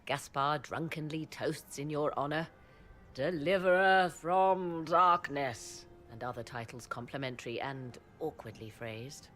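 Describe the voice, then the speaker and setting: A woman's voice: sits low, measured pace, fairly bright tone. A woman voicing an aloof, sardonic apostate sorceress in a fantasy video game, with arch, theatrical, archaic phrasing. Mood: mocking.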